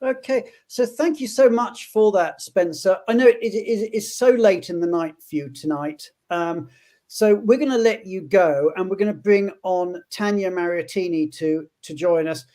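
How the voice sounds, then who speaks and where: A man's voice: sits high, normal speed, warm tone. Man hosting a live panel, stammering restarts. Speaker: host.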